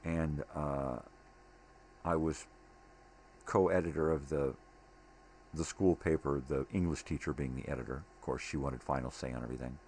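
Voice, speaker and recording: A man's voice: rumbling low, steady tone, normal speed, long pauses, a little mellow. An older man rambling casually in a monologue, blunt, opinionated and given to swearing. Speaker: daily vlog host. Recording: clearly noisy home recording.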